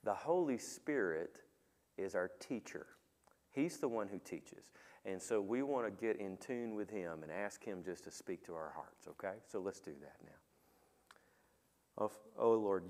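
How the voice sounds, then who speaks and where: A middle-aged man preaching a sermon as pastor in a church sanctuary on a headset mic, talking with the congregation and asking them questions, and praying quietly. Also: low-pitched. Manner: conversational, asks the congregation questions; soft, quiet when praying. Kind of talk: sermon with opening prayer. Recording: long reverb of a church hall.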